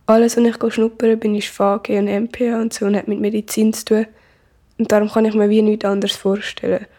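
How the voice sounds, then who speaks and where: Teenage girl, Swiss German accent, mellow, even intonation, casual interview. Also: medium pitch, a little fast.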